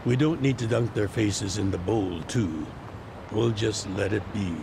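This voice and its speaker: Weary old former mob boss, gravelly, slow and low, game cutscene over city noise. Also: male voice. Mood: calm, reflective. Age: older. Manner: slow, measured, reflective. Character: older retired male yakuza boss, weary and fatherly. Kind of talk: video game cutscene dub.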